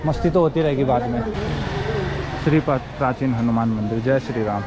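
A man's voice: medium pitch, a little fast. A young man in his twenties talking casually to viewers while cycling, filmed on a handheld action camera outdoors. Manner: chatty, excited, addresses viewers as brothers. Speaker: cycling vlogger. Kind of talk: casual vlog narration while riding. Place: outdoors on a bicycle on roads and riverside. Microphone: action camera held at arm's length. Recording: loud wind and traffic noise outdoors.

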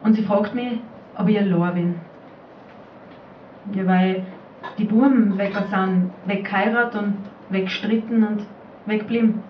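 Austrian woman reciting dialect poetry, roomy sound. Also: medium pitch. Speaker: poetry slam performer. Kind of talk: dialect spoken-word recitation. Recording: roomy, distant, muffled live recording, faint noise.

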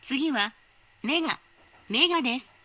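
A woman giving polite, formal automated train announcements in a measured voice. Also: sits high, animated, sharp tone, dry recording.

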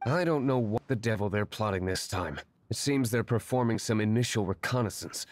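A man's voice: lowish voice, animated, brisk, noisy background, close to an everyday voice. Dry, aloof young nobleman played by a man. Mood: serious, curt. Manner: dry, formal, curt, disdainful. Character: aloof, brooding young nobleman and secret masked vigilante. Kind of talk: video game voice acting.

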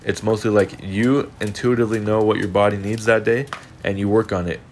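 A man's voice: lowish voice, steady tone, brisk, a little mellow, slight background noise. A congested young man talking in an earnest, reflective, rambling way in a casual voice-over monologue. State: congested, sniffling. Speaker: fitness vlogger.

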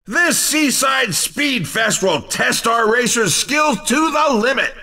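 An actor playing an excitable, upbeat male race commentator in a video game, with showy, hyped announcer delivery. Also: extremely high pitch, slow, recorded from a distance, heavily acted. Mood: excited, teasing.

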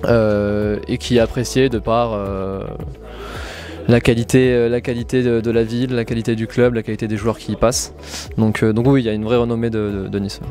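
Mid-pitched young man over music. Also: little pitch movement, brisk, warm tone. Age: young adult. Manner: hesitant, self-correcting. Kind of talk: interview. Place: small indoor locker room. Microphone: handheld interview microphone.

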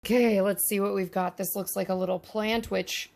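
A woman's voice: medium pitch, steady tone, normal speed, vocal fry, a little mellow, slight background noise. A woman giving casual, easily delighted unboxing commentary as she handles miniature toys. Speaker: host of a miniature toy unboxing.

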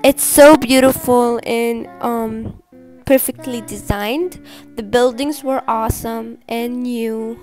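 A woman's voice: on the high side, steady tone, takes it slowly, a little mellow. A young woman from Malaysia narrating a casual travel vlog, chatty and enthusiastic with frequent fillers. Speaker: vlogger. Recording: music playing underneath.

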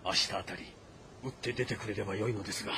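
A man's voice: fairly low pitch, fairly even intonation, fast talker, creaky, noisy background. An actor playing a keen male military strategist who commands an army, in dramatic audio drama style. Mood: measured, calculating.

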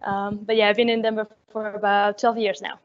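A woman's voice: medium pitch, flat delivery, brisk. A woman from Honduras with a Honduran Spanish accent, introducing herself on a panel in a conference room. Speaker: panelist, analytics manager. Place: hotel conference room with an audience. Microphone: handheld microphone. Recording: dull lo-fi sound.

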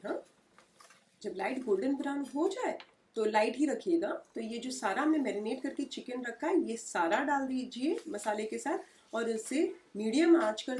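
A woman's voice: on the high side, recorded from a distance. A woman, hosting a home cooking tutorial and explaining each step in a homely way, recorded in her kitchen. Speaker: cooking channel host.

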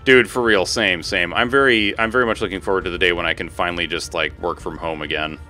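A man's voice: sits low, steady tone, fast talker, sharp tone. A man in his thirties chatting casually on a gaming livestream, informal and slangy with frequent swearing. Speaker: game streamer. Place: home room. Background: music.

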